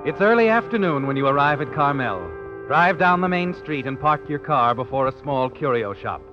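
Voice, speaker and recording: A man's voice: on the high side, animated, moderate pace, natural voice. Man doing creepy knowing old radio suspense narrator, taunting, music behind him, muffled vintage recording. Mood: suspenseful. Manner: insinuating, ominous. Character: knowing, taunting male narrator haunting the hero. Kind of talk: 1940s radio suspense narration in second person. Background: dramatic music.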